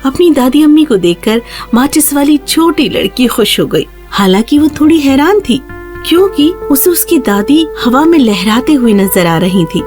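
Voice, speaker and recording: A woman's voice: high-pitched, sing-song, darkish tone, faint music behind. A woman narrator reading a scripted children's fairy tale in a storytelling style.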